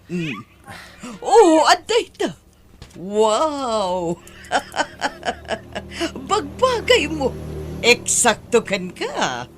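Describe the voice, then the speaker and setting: Slow, swooping flirtatious woman. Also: high voice, huge pitch swings, exaggerated voice. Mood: excited, cooing. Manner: teasing, cooing, laughing. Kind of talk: Ilocano radio-style audio drama acting.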